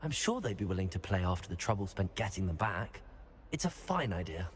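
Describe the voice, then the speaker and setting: A man's voice: low-pitched, sing-song, fairly quick, a little hiss or hum. A man acting a polite, curious young student wizard in a video game, with a courteous, earnest manner.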